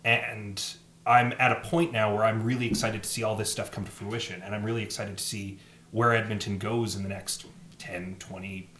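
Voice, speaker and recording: A man's voice: lowish voice, flat delivery, normal speed, a bit bright. A man chatting casually as a podcast co-host, recorded with roomy echo. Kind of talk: casual chat. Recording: roomy echo with humming noise.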